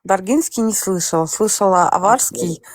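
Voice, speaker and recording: A woman's voice: mid-range voice, lively intonation, dry recording. A woman hosts a live voice chat, talking in a blunt, skeptical way and teasing the people she speaks with. She sounds close and dry, with no room around her voice. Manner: direct, skeptical, quick to tease. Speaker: stream host. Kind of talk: casual chat.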